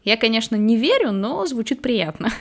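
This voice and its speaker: A woman hosts a podcast, putting careful, well-formed questions to her guests. Her voice sits a little above average pitch, and she speaks in an even, composed way. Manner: measured, articulate questions. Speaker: podcast host. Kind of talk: interview questions.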